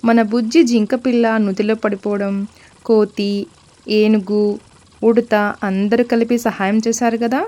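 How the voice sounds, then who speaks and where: A woman narrating a children's cartoon story, speaking gently and expressively to the kids. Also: fairly high pitch, level tone, measured pace.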